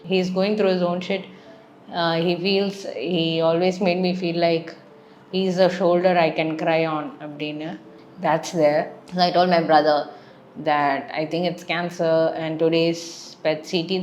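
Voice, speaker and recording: Fast-talking South Indian young woman. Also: fairly low pitch, fairly even intonation, reverberant, a little hiss or hum. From Chennai, South India. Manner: switches between English and Tamil, candid and reflective. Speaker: vlogger. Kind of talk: casual personal storytelling to camera.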